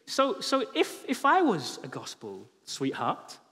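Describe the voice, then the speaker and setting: A man's voice: high voice, slow pace. Man preaching in a reverberant church hall. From England. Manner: conversational and humorous, with animated gestures. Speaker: pastor. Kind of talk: sermon. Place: large church hall, on stage. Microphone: headset mic.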